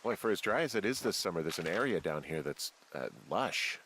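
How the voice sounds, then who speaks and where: A man voicing a weary, wry, awkward male fire lookout in a video game, speaking hesitantly with low-key dry humor. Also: animated, fairly quick, a bit bright, a little hiss or hum. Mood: thoughtful. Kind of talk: naturalistic video game voice acting.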